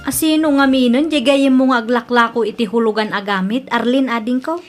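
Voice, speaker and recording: A woman performs a grown daughter with an Ilocano accent, high-voiced and earnest. She talks softly, as someone who once mocked others and has learned better. Faint music plays under her lines in this audio drama. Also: a little fast, lightly acted. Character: grown daughter, once scornful now humbled. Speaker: daughter Brenda.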